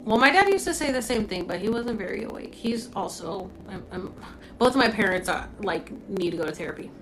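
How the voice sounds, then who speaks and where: A woman's voice: medium pitch, expressive, brisk, a bit bright, a little hiss or hum, low-quality recording. Blunt, candid young woman on a livestream. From Puerto Rico, raised in Florida. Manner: blunt and candid, swears freely, many "like" fillers. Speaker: livestream host. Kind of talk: casual livestream chat answering comments. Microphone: phone.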